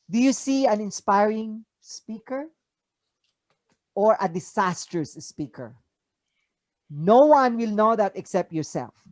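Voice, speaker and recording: A speaker with a Filipino accent giving an inspirational talk over a video call, asking the listeners rhetorical questions with emphatic rises. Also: male voice, high voice, a little mellow, no room sound. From Philippines. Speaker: motivational speaker. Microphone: video call mic.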